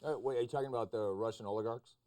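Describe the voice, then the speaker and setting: A man's voice: mid-range voice, rapid-fire, darkish tone. A man hosting a news discussion, putting probing questions and cutting in to press the point. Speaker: talk show host. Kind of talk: interview-style discussion of news.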